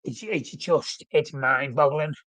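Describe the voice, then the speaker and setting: A man's voice: fairly high pitch, slow, a bit bright, no echo. Snuffly man chatting on a live stream. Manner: rambling, self-correcting, reads out comments. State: snuffly with a flu. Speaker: fan channel host. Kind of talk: casual live-stream football chat.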